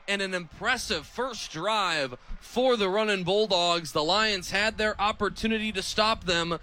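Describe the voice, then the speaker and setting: Male American play-by-play announcer, twenties, higher-set and sharp, clipped live football calls. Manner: crisp, clipped phrases that build to excited calls on big plays. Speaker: play-by-play radio sports announcer.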